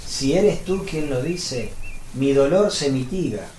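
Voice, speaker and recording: A man's voice: a little high, expressive, takes it slowly, slight background noise. Man acting as a timid, devout apostle, roomy sound. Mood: humbly relieved. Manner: hushed, humble, earnest. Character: timid, devout, earnest male apostle confiding in his master. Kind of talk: religious radio drama acting.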